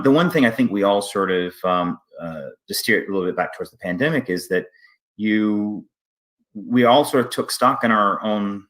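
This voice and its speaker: A man hosting a casual interview, speaking haltingly and often restarting his sentences. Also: lowish voice, a bit bright. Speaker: interview host, theater artistic director.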